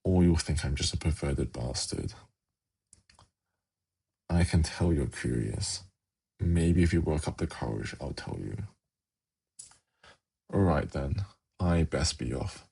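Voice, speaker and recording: Seductive demon prince, male actor, fantasy ASMR roleplay. Rumbling bass with heavy fry, slow, sly, intimate coaxing. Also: expressive, darkish tone, exaggerated voice, poor audio. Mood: teasing, sly. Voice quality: gravelly, husky. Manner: slow, intimate, teasing, coaxing with formal wording.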